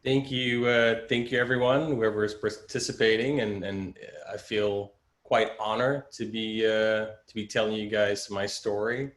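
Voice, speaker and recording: A man's voice: fairly low pitch, fairly even intonation, measured pace, hardly any pauses, roomy sound. A man in his forties, a creative director casually telling his story in an interview, with many fillers and restarts. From Netherlands.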